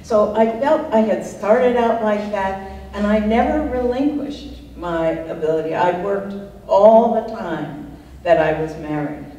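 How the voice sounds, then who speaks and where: Unhurried older woman in an echoey hall. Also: mid-range voice, warm tone, lo-fi sound. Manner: unhurried, reflective, laughs often. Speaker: panel guest, writer. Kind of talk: panel discussion answering audience. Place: art center talk room, audience present. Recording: echoey room, distant mic, faint noise.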